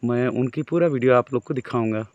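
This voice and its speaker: A man with a Chhattisgarh Hindi accent, hoarse from a cold, chatting casually to his viewers while traveling outdoors. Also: medium pitch, fairly quick, a little mellow, no room sound, highs cut off. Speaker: vlogger. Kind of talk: casual vlog narration. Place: outdoors on a road.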